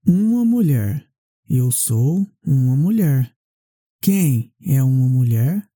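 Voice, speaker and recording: A woman with a low, extremely dark and mellow voice leads a language drill. She speaks extremely slowly, separating each short question and answer with care. The sound is dry and close, with little room around it. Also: sing-song, dry recording. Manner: deliberate, carefully separated phrases. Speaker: language teacher.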